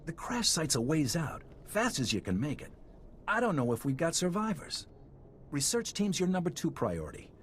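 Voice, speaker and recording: A battle-weary male military officer from a video game, gruff and blunt. The voice sits a little high for a man and moves animatedly as he gives clipped orders over clear background noise. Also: huge pitch swings, normal speed. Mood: grim, urgent.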